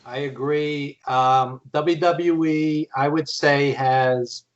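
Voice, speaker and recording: A man with a fairly high voice speaks very slowly, in short halting phrases. He gives casual opinions as a podcast co-host on a slightly dull recording. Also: steady tone. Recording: dull lo-fi sound.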